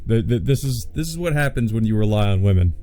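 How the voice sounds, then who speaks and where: Low, mellow-voiced man, game playthrough. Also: lively intonation, faint music behind. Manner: halting, repetitive stammer in asides. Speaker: let's play host. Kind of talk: reading visual novel aloud with casual commentary.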